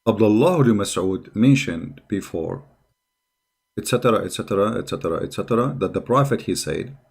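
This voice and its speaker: A man's voice: on the low side, expressive, moderate pace, vocal fry. Combative man. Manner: combative, mocking, repeats phrases and asks rhetorical questions. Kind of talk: spontaneous polemical commentary.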